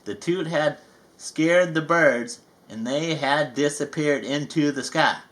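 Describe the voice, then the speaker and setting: A man's voice: on the high side, slow, a bit bright. A man reading a children's storybook aloud, folksy and playful, with chatty asides. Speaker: storybook reader.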